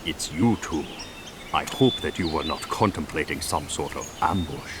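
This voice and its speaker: Stern warrior, rock-bottom pitch, measured formal speech, video game, heavy background noise. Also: male voice. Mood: suspicious. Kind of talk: animated video game cutscene acting.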